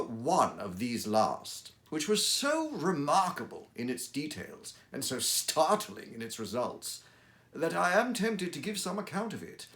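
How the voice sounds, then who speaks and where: A man's voice: medium pitch, a bit bright, voice pushed up. Watson, read by a man, formal and earnest. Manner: measured, formal storytelling delivery. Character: Dr. Watson, a Victorian gentleman recounting cases. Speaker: audiobook reader. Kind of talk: dramatic reading of a classic story.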